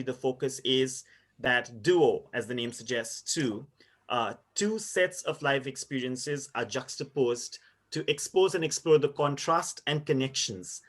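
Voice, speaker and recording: A man in his thirties from India, giving a conference presentation in a measured, explanatory way with frequent pauses and fillers. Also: mid-range voice. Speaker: university lecturer presenting research.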